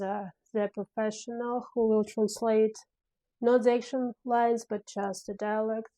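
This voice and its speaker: A woman whose first language is Russian speaks English in a relaxed video diary, pausing often and restarting her sentences as she hunts for the right words. Her pace is unhurried and her voice sits mid-range with a dark, mellow tone. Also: mid-range voice. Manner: hesitant, many fillers and restarts, searching for words. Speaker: filmmaker vlogger. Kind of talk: casual spoken diary.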